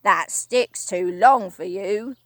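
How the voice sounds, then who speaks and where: A woman voicing a nasty old man who taunts slowly and with relish. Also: medium pitch, fairly bright tone, no echo. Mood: gloating. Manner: slow, gloating and emphatic. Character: nasty gloating old man, cruel trickster.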